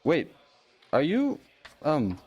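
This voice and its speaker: An actor playing a polite, easygoing small-town police officer in an audio drama, informal and a little awkward, in natural conversational dialogue. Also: male voice, a little high, wildly swooping pitch, drawn-out words, a little mellow, breathy edge, dull audio, heavily acted. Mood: awkward, warm.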